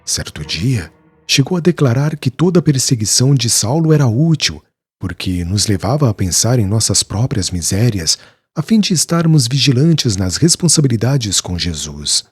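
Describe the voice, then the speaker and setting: A man reading an audiobook, voicing a humble, devout older male weaver who speaks slowly and earnestly. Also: mid-range voice, big pitch swings, husky, no echo, soft background music, voice pushed down. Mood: reminiscing.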